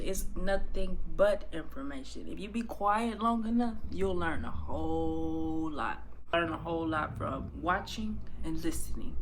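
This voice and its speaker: A woman in her thirties talks straight to her viewers in a loose, chatty reaction-vlog style, often circling back and repeating herself. Her voice sits a little low. Clear clicking sounds run underneath the recording. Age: 30s. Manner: talkative, animated, repeats herself with restarts. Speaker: vlogger reacting to clips. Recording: clicking noise in the background.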